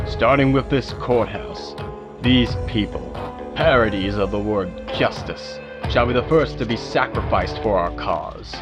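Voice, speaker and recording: A man acting as a menacing male terrorist zealot in an audio drama. He delivers portentous proclamations of doom slowly, with music clearly playing behind him. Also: on the low side, natural voice. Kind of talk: audio drama villain monologue. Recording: music under the voice.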